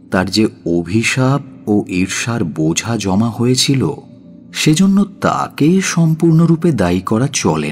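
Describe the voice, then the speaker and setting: Deep voiced guy reading a story slowly and dramatically, lots of swing in his pitch, music behind. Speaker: narrator and presenter. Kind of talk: dramatic scripted story narration.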